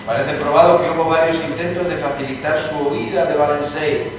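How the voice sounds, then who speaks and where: An actor playing a wry, scornful gentleman storyteller in a dramatized reading. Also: fairly high pitch, level tone, brisk, close to an everyday voice. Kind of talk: dramatized narration in dialogue. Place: live reading in a reverberant venue. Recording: echoey hall from far off, clear noise.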